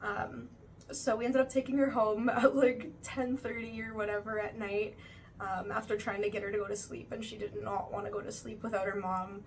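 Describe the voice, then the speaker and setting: A woman's voice: mid-range voice, flat delivery, normal speed. Chatty young woman, slightly distant mic. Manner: chatty, uses many fillers like "like" and "you know". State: slightly stuffy nose, still recovering. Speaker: vlogger. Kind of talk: casual monthly recap vlog. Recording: voice a bit far from the mic, faint background noise.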